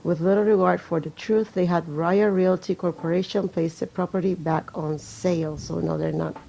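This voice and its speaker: A woman's voice: on the low side, steady tone, normal speed, gravelly, warm tone, low-quality recording. A woman reading game text aloud as a let's play streamer, breaking off for casual, sarcastic, wry commentary. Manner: sarcastic, wry, swearing asides.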